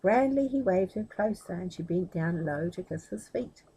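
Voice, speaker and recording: A woman storyteller reading a children's tale aloud expressively, with animated, chatty asides. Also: fairly low pitch, moderate pace, husky, dull audio.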